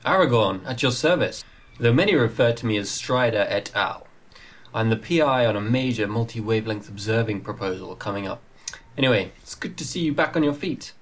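A man's voice: on the low side, lively intonation, fairly quick. A man playing a courteous, self-important ranger in an amateur comic pantomime.

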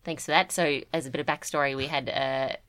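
A woman's voice: rumbling low, steady tone, a little fast, a bit bright, smooth. A woman co-hosting a podcast, casually chatting and reading out listener mail. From Australia. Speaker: podcast co-host.

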